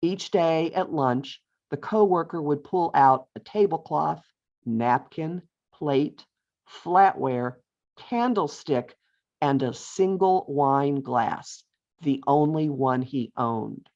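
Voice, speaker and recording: A middle-aged or older woman reading her own humorous essay aloud with deliberate phrasing and wry emphasis, as an author on a video call. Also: low voice, darkish tone. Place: virtual author talk over video call. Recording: dull, lo-fi online call audio.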